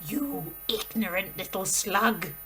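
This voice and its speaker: A thundering, bullying giant of a headmistress, played by a woman reading a storybook aloud. She barks and bellows every word slowly and heavily, as if hammering it into a class of small children. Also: mid-range voice, steady tone, noisy background, close to an everyday voice. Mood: bellowing. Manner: bellowing, slow and emphatic. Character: huge, brutal female headmistress, bullying and thunderous.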